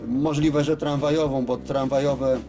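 A man gives an unscripted workshop demonstration as a repair technician, explaining step by step with hesitant pauses. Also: medium pitch, flat delivery, music in the background. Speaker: radio repair technician presenting his work. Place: radio service workshop, indoors at a bench.

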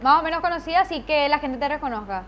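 Giggly young woman, high voice. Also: fast, a little hiss or hum. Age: young adult. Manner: giggly, animated answers. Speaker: interview guest. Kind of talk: casual interview answers. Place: dark studio set, seated. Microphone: lapel.

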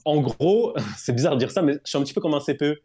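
Quick-talking man telling his story. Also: fairly high pitch, husky. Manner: relaxed, rambling with fillers. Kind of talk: casual personal storytelling.

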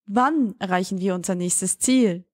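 A woman's voice: mid-range voice, a little slow, darkish tone, dry recording, clean studio sound, natural voice. A woman playing a spaceship commander who puts brief questions and orders to her computer in a science fiction audio drama. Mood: businesslike.

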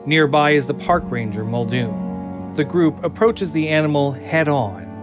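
A man's voice: a little high, animated, a little slow. Male audio drama narrator over music.

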